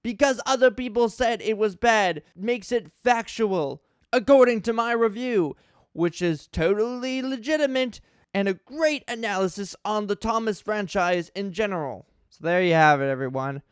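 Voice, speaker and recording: High-pitched man giving a theatrical dramatic reading. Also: moderate pace, smooth, dry recording, studio quality. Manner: theatrical, exaggerated mock outrage. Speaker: YouTube host reading a list.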